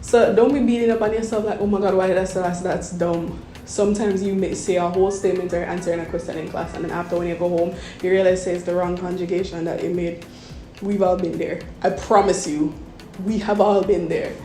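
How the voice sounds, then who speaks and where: A woman's voice: fairly low pitch, fairly even intonation, brisk, mellow, reverberant, soft background music. A Jamaican woman, a medical student, giving earnest, reassuring advice to first-year students and repeating points for emphasis.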